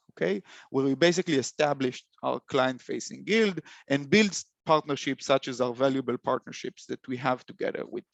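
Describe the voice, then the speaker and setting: A young man with an Israeli accent presenting a webinar, halting speech full of fillers and restarts. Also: mid-range voice, creaky, no echo. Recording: dull lo-fi call audio.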